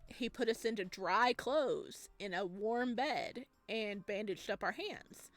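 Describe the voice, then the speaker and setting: A woman reading a video game's text aloud and chatting with expressive, excited reactions as she plays. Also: mid-range voice, slow, dry recording. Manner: chatty, expressive asides between read lines. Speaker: video game streamer, let's player. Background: game music.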